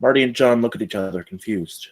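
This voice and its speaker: A man's voice: mid-range voice, quick pace. A man reading stage directions as the narrator of a screenplay table read.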